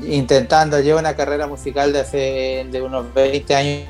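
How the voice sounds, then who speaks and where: A man from Chile with a Chilean accent, a musician in his thirties, talking in a relaxed interview with many fillers and restarts. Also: mid-range voice, moderate pace, soft background music. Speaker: musician guest. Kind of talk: casual interview chat.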